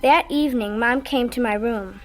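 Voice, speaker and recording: Casting: actress for high, childlike farm girl, plain-spoken and stubborn, radio drama with faint music behind. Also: normal speed, close to an everyday voice. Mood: confiding. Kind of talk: radio drama acting and narration.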